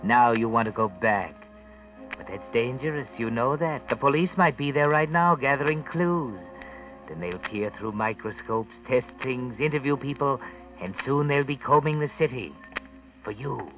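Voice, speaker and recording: An actor playing an ominous, all-knowing male narrator who taunts a murderer with deliberate insinuation, in 1940s radio drama style. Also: medium pitch, animated, close to an everyday voice. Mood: menacing. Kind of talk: 1940s radio acting, second-person suspense narration. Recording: tinny vintage radio recording, music behind. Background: dramatic music.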